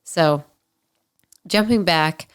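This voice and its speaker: Female podcast host, 20s, nasal and congested, low pitch, casual candid storyteller. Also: extremely slow, clean tone. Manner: candid, chatty, frequent ums and likes. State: nasal, stuffy from allergies.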